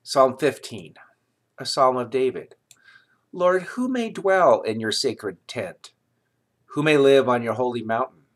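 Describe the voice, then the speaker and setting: A man reading scripture aloud in a solemn, deliberate manner, with measured phrases and pauses. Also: mid-range voice, expressive. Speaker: scripture reader.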